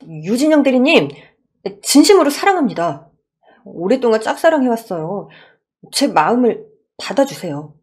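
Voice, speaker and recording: Lady doing a shy young repair guy, polite n earnest, husky voice, really animated pitch. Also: normal speed. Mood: loud, earnest. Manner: hesitant, polite, then boldly earnest.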